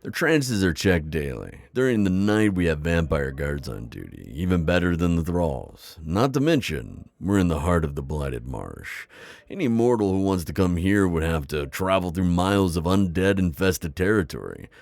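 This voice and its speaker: A man playing a friendly, wise old vampire innkeeper in an ASMR roleplay, with a deep gravelly voice and a chatty, reassuring manner. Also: sing-song, normal speed, darkish tone, no room sound, full character voice. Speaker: roleplay audio performer. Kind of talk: immersive ASMR roleplay acting.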